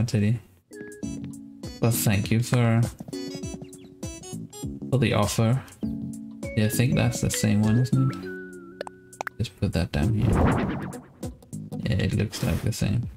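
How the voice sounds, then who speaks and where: Male game streamer, thirties, Danish accent, unhurried casual delivery, guitar music underneath. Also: medium pitch, sing-song, vocal fry. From Denmark. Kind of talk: casual gameplay commentary.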